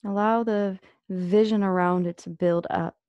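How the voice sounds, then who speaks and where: A woman leading a guided meditation as an instructor, speaking gently and unhurriedly with long pauses. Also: mid-range voice, fairly even intonation, smooth, dry recording, muffled recording.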